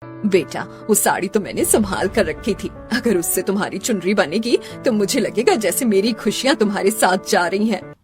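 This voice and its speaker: A woman voicing a warm, dignified middle-aged mother in a Hindi cartoon story, tender and measured. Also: medium pitch, lively intonation, faint music behind, natural voice.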